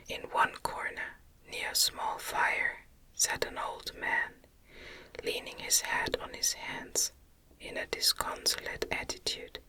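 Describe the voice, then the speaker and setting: Slow whispering woman, ASMR reading. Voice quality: breathy, unvoiced whisper. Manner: gentle, unhurried, careful literary reading. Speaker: audiobook narrator, ASMR reader.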